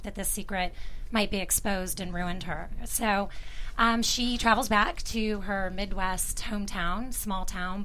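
A woman's voice: mid-range voice, a bit bright, a little hiss or hum, rough recording. A woman screenwriter explaining a story in a conversational interview as a guest.

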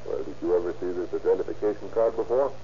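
A man's voice: sits low, fast talker, mellow, close to an everyday voice. A man playing a businesslike police detective in an old radio drama, putting clipped, probing questions. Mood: interrogating. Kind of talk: 1930s radio acting. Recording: muffled, noisy old radio recording.

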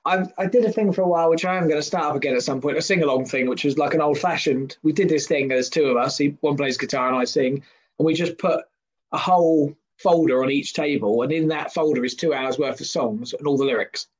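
A man's voice: a little high, fast. A man in his thirties, a singer and comedian, chatting casually in a self-deprecating, jokey, rambling way. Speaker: podcast guest, singer and comedian. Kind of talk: casual chat.

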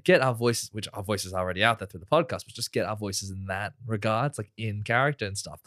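A man co-hosting a casual podcast, laid-back and rambling, quick to laugh. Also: fairly low pitch, fairly bright tone, dry recording, studio quality. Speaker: podcast co-host.

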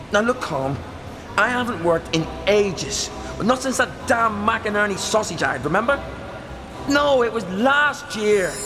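Exasperated luvvie, down on his luck, swooping pitch, drawn-out delivery, audio drama. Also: exaggerated voice. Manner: pleading, exasperated. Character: broke, pleading out-of-work actor phoning his agent. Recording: noisy, reverberant ambience with vehicle.

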